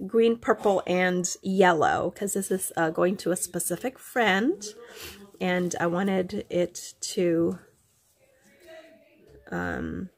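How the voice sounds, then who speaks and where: A woman with a nasal, stuffy voice from allergies, chatting casually as a craft vlogger with many ums. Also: lowish voice. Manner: rambling, many ums, warm and chatty to viewers. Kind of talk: casual show-and-tell chat.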